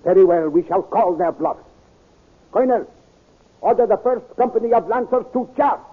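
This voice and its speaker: An actor playing a gallant warrior prince in a 1930s radio serial, declaiming with authority. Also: male voice, high voice, lively intonation, normal speed, husky. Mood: decisive. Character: gallant, commanding prince of winged warriors at war. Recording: tinny old radio recording.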